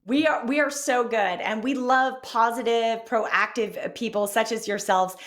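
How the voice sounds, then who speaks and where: A woman co-hosting a TV talk show, bubbly and friendly, full of warm affirmations. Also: on the high side, a little slow.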